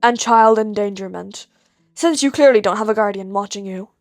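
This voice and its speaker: A woman voicing a weary, terse adult male underground hero with a rough voice in an audio story. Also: mid-range voice, moderate pace, no room sound, faint music behind, pitched below the performer's own voice, natural voice. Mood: weary, unyielding. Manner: terse, stern, flat.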